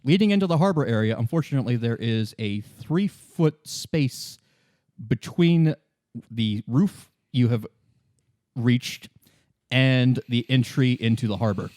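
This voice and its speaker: A man narrates a tabletop roleplaying game as its game master. His voice sits at an average pitch, and he improvises casually, with halting, good-humored phrasing. The recording is dry. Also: expressive, a little mellow, no echo. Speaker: game master, podcast host.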